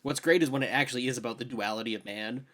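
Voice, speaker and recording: A young man co-hosting a podcast with casual, joking banter about films in a playfully dramatic, animated way. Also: mid-range voice, very fast. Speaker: podcast co-host.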